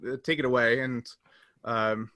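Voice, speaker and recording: A man's voice: medium pitch, steady tone, measured pace, sharp tone, no room sound. A man hosting a casual podcast discussion in an informal, somewhat hesitant way. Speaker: podcast host and player.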